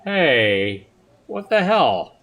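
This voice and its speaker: A man's voice: medium pitch, very animated, very slow, a bit bright, pitched above the performer's own voice, exaggerated voice. A man voicing an exasperated young man in a visual novel, wry, grumbling and incredulous. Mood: offended. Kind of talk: visual novel character read aloud.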